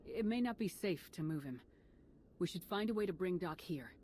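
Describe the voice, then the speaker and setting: A woman's voice: lowish voice, lively intonation, normal speed, warm tone, a little hiss or hum, close to an everyday voice. An actress playing a resolute, commanding female hero in a video game, firm and decisive. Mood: grave. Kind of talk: video game dialogue acting.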